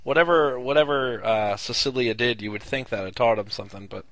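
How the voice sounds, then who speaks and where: Male co-host, mid voice, wry and relaxed, dry close sound. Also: medium pitch, fairly quick, no room sound. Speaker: podcast co-host. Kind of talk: casual sports chat.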